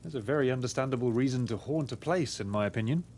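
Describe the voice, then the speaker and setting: A man voicing an earnest pig detective in a game, reasoning through deductions in a formal, deliberate way. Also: mid-range voice, a little mellow, a little hiss or hum, natural voice. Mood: earnest, deductive. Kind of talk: scripted game dialogue.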